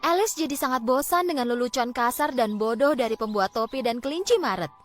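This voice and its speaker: A woman narrating a scripted fairy tale for children, expressively and with deliberate phrasing. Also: a little high. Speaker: storyteller. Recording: background music.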